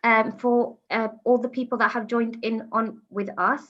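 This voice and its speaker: A woman hosts an online lecture, talking in a halting way full of ums and pauses. Her voice sits fairly high. The sound is dull and lo-fi, like a video call. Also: fairly even intonation, fairly bright tone. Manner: hesitant, many ums. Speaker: host.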